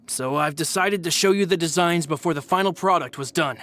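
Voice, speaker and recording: A man acting a loyal, reassuring aide in a video game, with a measured, polite delivery. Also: fairly high pitch, a bit bright, slight background noise, natural voice. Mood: earnest, formal.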